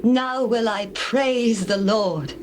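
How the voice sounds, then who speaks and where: A woman acting as a devout young wife who feels unloved, in a scripture audio drama. Her voice is fairly high, with earnest, formal delivery. Birds and cooing doves are clearly audible behind her. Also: slow pace, background noise. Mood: joyful praise. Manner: earnest, formal, archaic diction.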